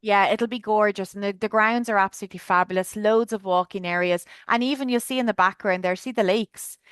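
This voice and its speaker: A woman's voice: mid-range voice, brisk, clean tone, no echo. An Irish woman chattily presenting a trip on a video call webinar, enthusiastic with lots of fillers. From Ireland. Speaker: tour guide presenting a trip.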